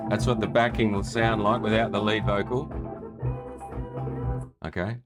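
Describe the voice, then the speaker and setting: A very deep-voiced man teaches music in a loose, chatty way. He talks through each step of a screen-share tutorial and keeps checking in with the viewer. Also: unhurried. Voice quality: low voice. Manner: chatty, relaxed, often checks in with "right?".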